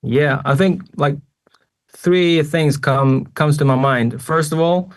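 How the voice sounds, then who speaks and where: Gravelly Swedish-accented man, relaxed. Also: on the high side, takes it slowly. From Sweden. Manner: relaxed, many fillers. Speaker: musician guest. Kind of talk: casual interview answers.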